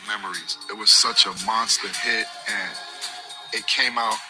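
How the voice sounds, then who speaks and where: Deep-voiced man telling a studio story. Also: steady tone, a little slow, music in the background. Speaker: singer interviewed in archive clip. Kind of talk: anecdotal interview recollection.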